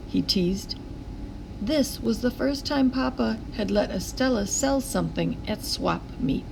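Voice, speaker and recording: A woman reading a children's picture book aloud in a deliberate, expressive storytelling manner. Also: mid-range voice, vocal fry, noisy background. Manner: deliberate storytelling with acted character voices.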